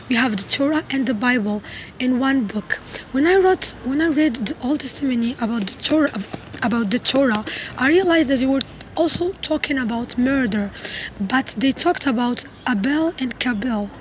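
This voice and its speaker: A woman who is not a native English speaker, giving an informal explanatory talk to viewers in a halting, self-correcting way. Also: high voice, slight background noise, low-quality recording. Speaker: video creator giving a religious talk.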